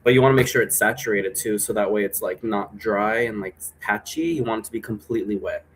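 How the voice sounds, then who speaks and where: A man's voice: fairly low pitch, fast talker, slight background noise. Casual, meandering male vlog host. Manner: chatty, meandering, informal. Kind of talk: casual rambling solo vlog. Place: indoor room at home.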